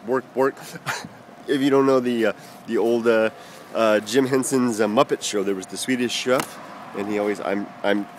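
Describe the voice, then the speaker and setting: A man from the United States rambling through viewer comments in a casual vlog, halting with many fillers and restarts. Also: mid-range voice, noisy background. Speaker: vlogger answering viewer comments.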